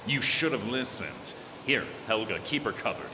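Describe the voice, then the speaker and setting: A man's voice: fairly low pitch, very animated, normal speed. A man playing a smug, oily crook with condescending, sugary talk in an old-time radio drama, recorded in a hall. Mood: gloating, menacing. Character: oily, smug male crook, gullible double-crosser. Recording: noisy, echoey live hall recording.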